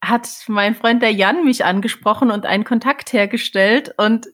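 A woman's voice: a little high, normal speed, a bit bright. A woman co-hosting a podcast in casual chat, often searching for words. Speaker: podcast co-host.

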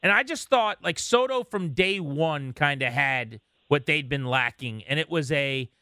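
A man's voice: a little high, lively intonation, unhurried, bright, forceful tone, clean tone, no echo, clean studio sound. A man hosting a sports radio show, chatting casually with a fan's enthusiasm. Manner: enthusiastic fan, conversational.

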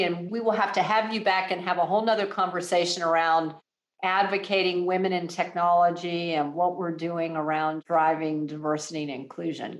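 A woman hosting a corporate podcast interview, upbeat as she asks questions and wraps up the show. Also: on the low side. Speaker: podcast host. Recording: roomy echo.

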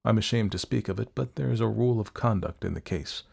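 A man acts out a cynical young male doctor with murder behind him, from a gothic storybook. He is controlled, worldly and persuasive, with a slightly creaky edge to his coaxing. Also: lowish voice, quick pace, darkish tone, heavily acted. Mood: smooth persuasion.

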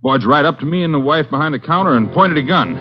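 An actor playing an agitated drugstore owner recounting a holdup in a 1940s radio drama. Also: male voice, a little high, quick pace, music in the background, close to an everyday voice. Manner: agitated, animated. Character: indignant male drugstore owner. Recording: lo-fi old radio broadcast.

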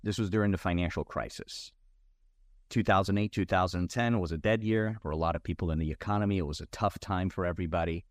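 Low-voiced American-accented man in an interview. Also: fast. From Turkey. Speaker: podcast guest, finance executive. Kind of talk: conversational interview storytelling.

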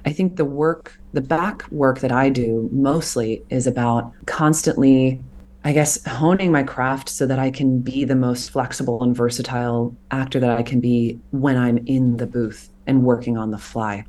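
A woman in her thirties, a voice actor being interviewed, talking earnestly and reflectively about her craft. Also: a bass voice. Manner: earnest, reflective, animated when explaining. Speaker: voice actor guest. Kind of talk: interview conversation.

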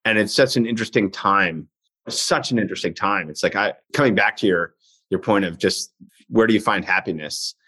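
A fifties man with a somewhat low voice who hosts in an easygoing, thoughtful way. He often lets his sentences drift before he picks them back up. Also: a little fast, husky. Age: 50s. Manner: relaxed, musing, trailing phrases. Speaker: podcast host. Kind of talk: conversational podcast interview.

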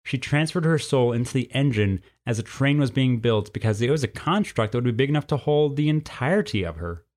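A stern, folksy she-cougar from a storybook, acted out by a male narrator. The voice is lifted in pitch, plainspoken and protective, with homespun country turns of phrase. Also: expressive, a little fast, darkish tone, natural voice. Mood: wistful, explaining. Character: stern, folksy female cougar guarding a secret. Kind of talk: storybook character voice.

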